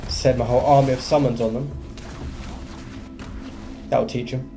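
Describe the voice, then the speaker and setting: A man's voice: medium pitch, fairly even intonation, normal speed, dark tone, faint music behind. A man giving casual gameplay commentary, thinking aloud as he plays. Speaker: video game player and commentator.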